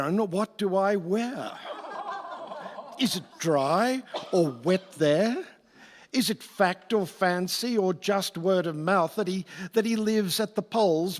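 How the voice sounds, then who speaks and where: A man acts out a fussy villain of the underworld in a staged radio play, plaintive and theatrical. His voice is high and slow, with long hall reverb around it.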